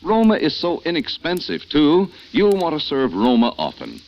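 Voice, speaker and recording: A man reading a sponsor advert as a radio announcer, smooth and salesy, on a dull old broadcast recording with faint hiss. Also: on the high side, wildly swooping pitch, moderate pace.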